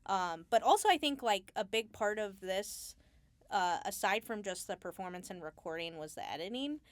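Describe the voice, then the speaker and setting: A woman speaking casually as an interview guest, explaining her craft in a halting, thinking-aloud way. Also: mid-range voice, lively intonation, no echo. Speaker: Foley artist, interview guest.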